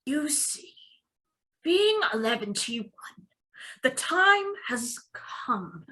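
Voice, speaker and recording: Old hobbit played by a woman: bright, very slow, theatrical and halting speechmaker for a fantasy table read. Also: high-pitched, very animated, heavily acted, poor audio. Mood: hesitant. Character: old male hobbit giving a birthday speech.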